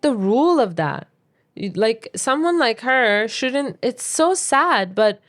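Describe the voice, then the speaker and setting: Smooth-voiced woman chatting on a podcast. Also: on the high side. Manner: opinionated, emphatic, advice-giving. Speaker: podcast co-host. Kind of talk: casual chatty podcast talk.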